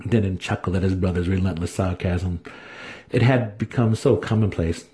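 A man's voice: sits low, moderate pace, mellow. A man narrating a fantasy audiobook as a dramatic scripted reading.

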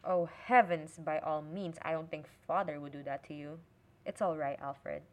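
A woman's voice: low-pitched, fairly even intonation, normal speed. A woman playing a curious, restless young princess and affectionate sister in an audio drama. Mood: flustered.

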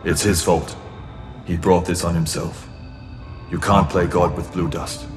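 An actor playing a grave, commanding mentor in a sci-fi audio drama, terse and solemn. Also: male voice, low-pitched, level tone, a little slow. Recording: reverberant, with music underneath.

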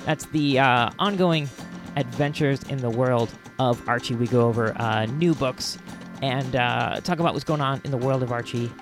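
Music plays clearly behind a man hosting a podcast. His voice is average in pitch, and he talks casually and keenly, rambling with halting restarts. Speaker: podcast host. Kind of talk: casual solo podcast outro. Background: music under the voice.

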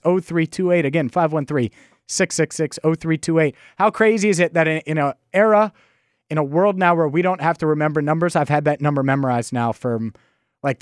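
A man's voice: fairly high pitch, no room sound, studio quality. A man hosting a sports podcast in a casual, chatty style. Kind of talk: casual chat.

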